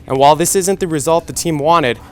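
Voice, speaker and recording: A man's voice: on the high side, brisk, a little mellow. A young man anchoring a scripted TV sports newscast. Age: young adult.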